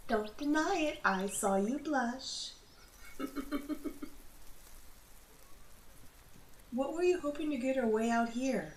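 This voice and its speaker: A highish female voice in a roomy, echoing space with faint noise, a woman acting out a spider woman of the forest. She coaxes like a mother and teases with wry amusement, in the intimate manner of an ASMR roleplay. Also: natural voice. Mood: playful teasing. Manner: gently teasing, amused, motherly coaxing. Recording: roomy echo, audible background noise.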